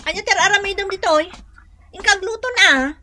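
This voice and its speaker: An actress playing a suspicious, bossy, nagging wife who scolds and demands in a radio comedy. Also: female voice, extremely high pitch, brisk, noisy background. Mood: angry, suspicious. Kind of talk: comedy radio drama acting.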